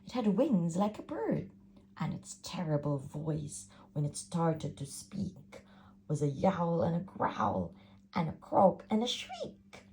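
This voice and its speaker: A woman reads a rhyming children's story aloud, slowly and rhythmically. Her pitch dances widely across each line, while her lowish voice carries a gravelly fry. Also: slight background noise. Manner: expressive, rhythmic rhyming delivery. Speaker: parent reading a picture book.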